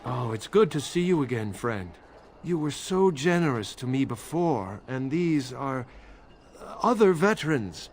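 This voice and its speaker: A man's voice: medium pitch, very animated, measured pace, a little hiss or hum. A male actor playing a humble, fawning beggar, hesitant, pleading and effusive. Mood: ingratiating, pleading.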